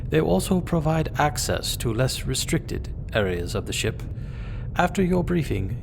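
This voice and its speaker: A man's voice: medium pitch, creaky, noisy background. A man voicing a formal male military officer who gives instructions in a stiff, precise way.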